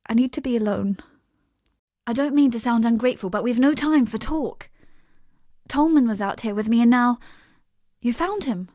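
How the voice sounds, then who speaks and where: High-voiced, grieving exile woman. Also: warm tone, no room sound, clean studio sound. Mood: sorrowful, withdrawn, then urgent, pleading, then stricken, grieving. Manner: earnest, subdued, halting. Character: grieving young woman exile, earnest and guarded. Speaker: game non-player character. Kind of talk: dramatic video game voice acting.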